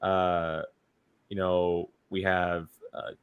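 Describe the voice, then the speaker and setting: A man co-hosting a talk show, chatting casually in a halting way with repeated phrases. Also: low-pitched, little pitch movement, smooth, dull audio. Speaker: co-host of a movie talk show. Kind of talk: casual chat.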